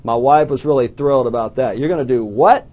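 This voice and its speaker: A man's voice: mid-range voice, fairly quick, warm tone, lo-fi sound. A middle-aged man with an Alabama Southern accent giving a motivational talk to an audience, telling folksy stories with self-deprecating jokes. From southern United States. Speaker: small business coach speaker.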